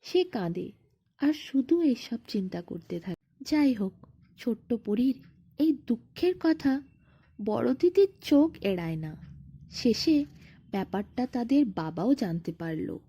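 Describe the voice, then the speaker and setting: A woman tells a bedtime fairy tale in a high, husky voice. She takes it slowly, phrase by phrase, with dramatic pauses, on a slightly muffled recording. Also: very animated, mellow. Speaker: storyteller. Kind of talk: expressive scripted bedtime-story narration.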